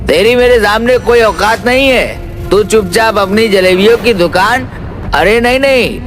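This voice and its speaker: A man voicing a scheming, bullying fat village merchant villain in an animated moral story, menacing and insulting. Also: sits high. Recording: music behind the voice.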